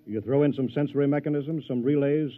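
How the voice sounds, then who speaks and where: A smug, ruthless tycoon, acted by a man as an old-radio villain. He speaks formally and tauntingly in a middle-pitched voice. The recording is narrow and tinny. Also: fairly quick, natural voice. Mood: boastful. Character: smug, ruthless wealthy male rival, machine builder.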